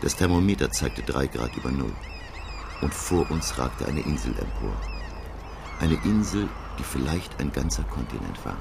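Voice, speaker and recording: An actor playing an earnest professor who recounts a sea voyage in measured, dramatic radio play narration with a gravelly voice. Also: male voice, very deep voice, animated, noisy background, full character voice. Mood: earnest, absorbed. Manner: measured, storytelling. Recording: loud sound effects behind the voice.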